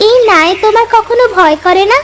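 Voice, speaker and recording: A woman playing a timid, curious young village girl in an animated story, with a girlish, friendly manner. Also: very high voice, brisk, noisy background. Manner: girlish, inquisitive. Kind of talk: animated story character acting.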